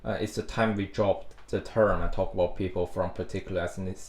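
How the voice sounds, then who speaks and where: A man's voice: low-pitched, fairly even intonation. A man in a podcast discussion, speaking hesitantly with many uh's and restarts. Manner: hesitant, halting with uh and repeats. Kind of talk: casual discussion. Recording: echoey room, faint noise.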